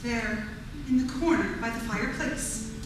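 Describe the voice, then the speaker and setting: A woman's voice: on the high side, a little slow, close to an everyday voice. A woman playing a sensible, doubting lady in a 1940s-style radio drama on stage. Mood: astonished. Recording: distant echoey hall, faint noise.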